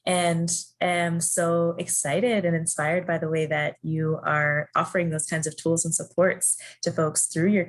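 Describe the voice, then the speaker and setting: Host: woman, low, husky voice, affirming and enthusiastic with her guest. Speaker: podcast host. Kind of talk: interview question.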